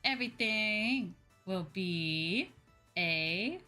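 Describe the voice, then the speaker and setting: A woman's voice: mid-range voice, expressive, drawn-out words, pushed and bright. A woman talking casually to viewers on a livestream, informal and conversational, peppering her talk with "like" and "you know". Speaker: VTuber livestream host. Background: background music.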